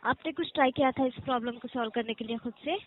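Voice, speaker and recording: A woman's voice: fairly high pitch, fast talker, slight background noise. A woman working as a customer care agent on a phone call, speaking politely and formally in scripted support phrases and step-by-step instructions. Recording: muffled narrow phone-call line.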